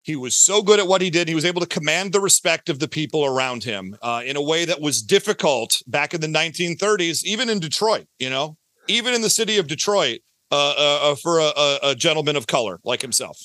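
Bright, high-pitched man chatting on a podcast. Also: brisk, dry recording. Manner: talkative, emphatic, repeats phrases for emphasis. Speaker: podcast guest, audio drama creator. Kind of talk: casual interview chat.

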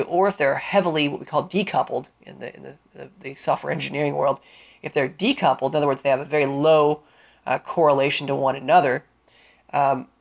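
A man hosting a solo career-advice podcast, smooth-voiced and deliberate, with a cold and a sniffle, explaining with frequent fillers. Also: a little high, muffled recording. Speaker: podcast host.

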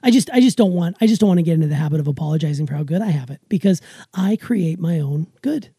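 A woman's voice: fairly low pitch, expressive, fast, mellow, dry recording, studio quality. A middle-aged woman, chatting emphatically and with self-assurance as a podcast co-host. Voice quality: raspy. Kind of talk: casual chat with emphatic opinion.

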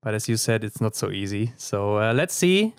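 A man co-hosting a sports interview show, talking in a relaxed way with lots of fillers. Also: on the low side, moderate pace, smooth, no room sound, studio quality. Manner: relaxed, filler-heavy talk. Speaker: podcast co-host. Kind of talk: casual interview chat.

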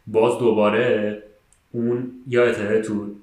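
Low-voiced Iranian man chatting, roomy sound. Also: flat delivery, darkish tone. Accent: Iranian Persian with English loanwords. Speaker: podcast co-host. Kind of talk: casual chat, giving opinions.

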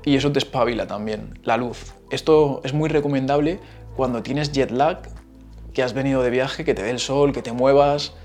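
A man's voice: mid-range voice, moderate pace, darkish tone, soft background music. A man with a Madrid Spanish accent, hosting a casual solo podcast, chatty and explaining things with asides. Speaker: podcast host.

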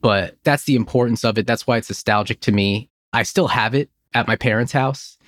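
Male podcast host, thirties, medium pitch, enthusiastic nostalgic chat, dry close mic. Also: fairly quick, fairly bright tone, no room sound. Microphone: close studio microphone in home office.

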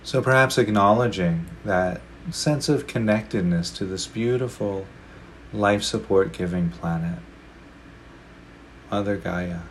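A man leading a guided meditation, speaking slowly and gently with long pauses between phrases. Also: on the low side, slight background noise, low-quality recording. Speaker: meditation teacher.

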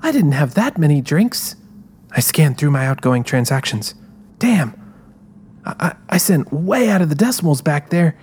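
A man's voice: fairly high pitch, darkish tone, breathy edge. A man voicing a rueful, determined young woman who narrates her story in a confiding way. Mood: shocked, flustered. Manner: musing, confiding. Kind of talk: first-person dramatized scripted narration.

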